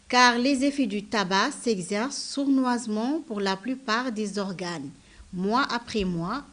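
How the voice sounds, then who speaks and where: A woman reads a scripted health segment on a news program. She keeps a steady, measured pace throughout. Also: mid-range voice. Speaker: news presenter.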